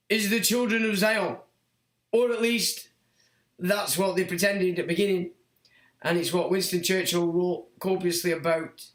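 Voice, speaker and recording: Croaky man rambling in an echoey room. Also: high-pitched. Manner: rambling, sardonic, talks to himself with fillers. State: hoarse, croaky from being sick. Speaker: livestream host. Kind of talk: rambling solo livestream monologue.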